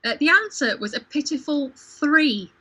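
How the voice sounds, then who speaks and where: Casting: female quiz host, higher-pitched and bright, informal and chatty delivery. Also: animated, measured pace, low-quality recording. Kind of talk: casual livestream quiz chat. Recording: home livestream video call.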